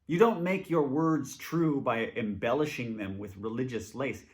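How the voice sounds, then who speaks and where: A man reading scripture aloud for a church in an expressive reading style. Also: mid-range voice, a little slow.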